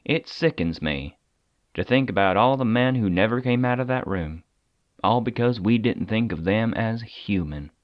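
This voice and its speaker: An actor playing a weary, persuasive government agent in an audio drama, talking conversationally with deliberate dramatic pauses. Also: male voice, lowish voice, animated, no room sound, muffled recording, natural voice. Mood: regretful, bitter. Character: weary, persuasive male agent of a secret containment agency.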